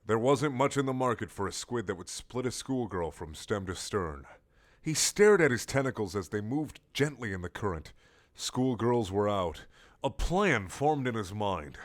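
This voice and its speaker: A man narrating comic fiction from a script in a theatrical, measured, storytelling way. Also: lowish voice. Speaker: narrator reading a fan story.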